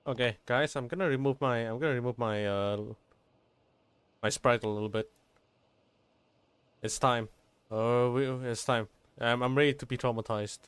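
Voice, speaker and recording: A woman's voice: very deep voice, normal speed, long pauses, smooth, dry recording. A woman streamer joking and reacting casually to a game story. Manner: joking, excitable.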